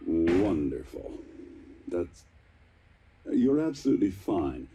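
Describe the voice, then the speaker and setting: Very mellow, husky, animated male test doctor. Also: deep voice, slight background noise, heavily acted. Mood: encouraging, awkward. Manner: encouraging, halting, politely apologetic. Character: upbeat, fussing scientist running tests on a subject. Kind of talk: video game voice acting.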